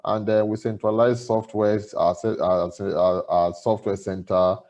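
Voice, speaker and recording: A man, a bank executive speaking in a panel conversation, explaining in a measured way with fillers and restarts. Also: fairly low pitch, little pitch movement, a little mellow.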